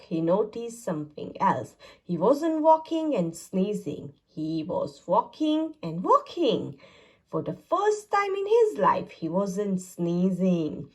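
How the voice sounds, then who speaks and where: A woman reads a children's picture book aloud in a sing-song way, her pitch rising and falling widely. She speaks slowly, with a soft, breathy voice. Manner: sing-song, exaggerated storytelling. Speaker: storybook reader. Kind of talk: children's read-aloud narration.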